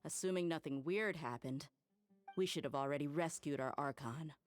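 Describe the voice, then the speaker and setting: A woman acts out a tough, good-hearted mercenary in an anime game. The voice is notably low for a woman, with blunt, casual phrasing and an easy swagger. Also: moderate pace, natural voice. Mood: confident.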